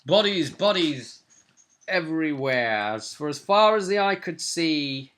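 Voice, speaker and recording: A man's voice: a little high, expressive, unhurried, a bit bright. A young man casually recapping a tabletop role-playing session as game master. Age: young adult. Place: small furnished room at home.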